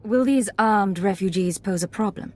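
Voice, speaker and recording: A woman's voice: medium pitch, moderate pace, a little mellow, close to an everyday voice. A woman voicing a cool, self-assured secret agent in a video game, terse and controlled. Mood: cool, determined.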